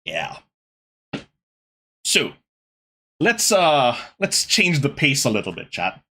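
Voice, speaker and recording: A young man hosting a casual gaming podcast, talking to his chat in an animated, exasperated way. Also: mid-range voice, slow, husky. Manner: animated, exasperated ranting with laughs. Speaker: podcast host.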